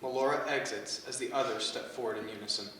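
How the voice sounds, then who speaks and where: A man's voice: medium pitch, fairly even intonation, quick pace, a bit bright. A man narrates a play script, reading out settings and stage directions. He is recorded at a distance in a very reverberant room, with faint noise behind him.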